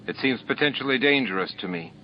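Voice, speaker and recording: A man's voice: medium pitch, lively intonation, fast talker, faint music behind, lightly acted. Man voicing a wary, principled alien rebel. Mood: doubtful. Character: cautious, principled male alien rebel worker. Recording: dull lo-fi audiobook tape.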